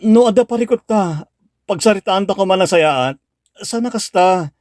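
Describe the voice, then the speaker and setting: A man's voice: sits high, normal speed, a little mellow, no echo, studio quality, lightly acted. A man with an Ilocano accent acting a puzzled, hurt young boyfriend in a radio drama. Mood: confused, pleading. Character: puzzled, wounded young boyfriend pleading to talk.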